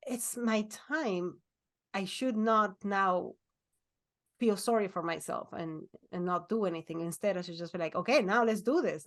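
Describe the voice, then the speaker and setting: Earnest forties lady cohost, repeats stuff for emphasis. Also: medium pitch. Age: 40s. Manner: earnest, repeats herself when stressing a point. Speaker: podcast co-host. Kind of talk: casual chat.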